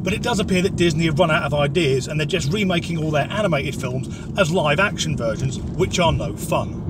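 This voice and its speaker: A man with an English accent speaks in a notably high register over clear background noise. He rants comically as a commentator, blunt and sardonic, swearing freely as he gives his opinions. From Norwich area, England.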